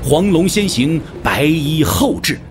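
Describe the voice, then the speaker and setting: Animated male storyteller, slightly high voice. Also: takes it slowly, music in the background. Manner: theatrical storytelling delivery. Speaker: narrator. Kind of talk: dramatic scripted narration of a martial-arts novel.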